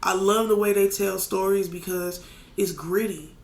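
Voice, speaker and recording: A man with a raspy voice talking casually as a podcast guest, candid and loose, with plenty of fillers. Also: high-pitched, fairly even intonation, moderate pace. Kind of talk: casual chat. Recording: echoey room.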